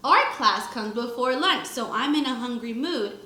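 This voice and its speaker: A woman's voice: fairly high pitch, expressive, normal speed, pushed and bright, roomy sound. A woman teacher reading a children's book aloud expressively to young pupils, acting out the lines and adding short explanations. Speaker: first grade teacher.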